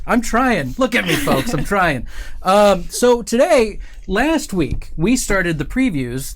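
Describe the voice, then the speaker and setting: Host, middle-aged man, slightly high voice, loose and rambling studio banter. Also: a bit bright, slight background noise. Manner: chatty, joking, rambling with fillers. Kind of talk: casual livestream chat. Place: studio set with couch and table.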